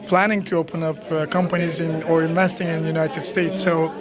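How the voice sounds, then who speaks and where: A man from Turkey with a Turkish accent, interviewed as a business chamber president, speaking haltingly and searching for words. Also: fairly high pitch, little pitch movement. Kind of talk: event interview. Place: consulate reception hall. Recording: crowd chatter at an event.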